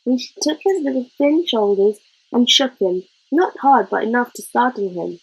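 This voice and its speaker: A woman reading a children's story aloud in an expressive narrating style. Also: a little high, normal speed, husky, rough recording. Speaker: storybook reader.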